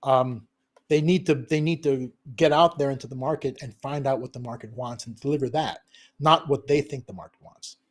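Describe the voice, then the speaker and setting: A middle-aged man from a Filipino family, a digital marketing consultant, talking in an animated, emphatic way on a live business stream, tagging his points with "okay?" and "right?" and laughing often. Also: medium pitch, normal speed.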